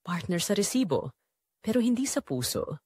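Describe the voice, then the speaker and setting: A woman playing an unhappy wife and mother with a Filipino accent, confessing her betrayal in measured, reflective first-person audio drama narration. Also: mid-range voice, very animated, mellow, husky, dry recording, clean studio sound. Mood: confessional, wistful.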